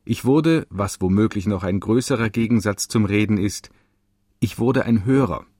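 A man solemnly reading quoted texts in a slow, earnest way. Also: lowish voice, a little mellow, dry recording, studio quality. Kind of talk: solemn scripted recitation.

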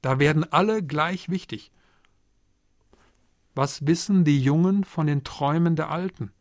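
A man delivers a sermon in a measured, earnest way, with a voice pitched a little above the usual for men. The sound is dry and close, with no room echo. He pauses on rhetorical questions and keeps a steady preaching cadence. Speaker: preacher, theology lecturer. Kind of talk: Pentecost sermon.

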